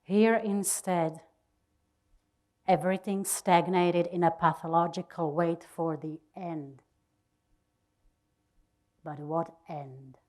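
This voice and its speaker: Very slow, expressive woman reading dramatically. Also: lowish voice. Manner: deliberate, expressive, with pauses. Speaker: reader of a diary.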